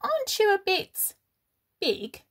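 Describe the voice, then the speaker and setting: A woman's voice: very high-pitched, wildly swooping pitch, takes it slowly, fairly bright tone, pitched above the performer's own voice, full character voice. A woman voicing a clever little female bee in a children's story, questioning and insistent.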